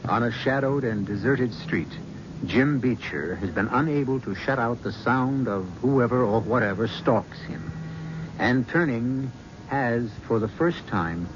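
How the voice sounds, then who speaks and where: Male mystery host, slow and ominous, lo-fi vintage broadcast with loud music. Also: mid-range voice, animated, background noise. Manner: measured, ominous. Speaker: host and narrator. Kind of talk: dramatic radio narration. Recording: dull old radio broadcast with music.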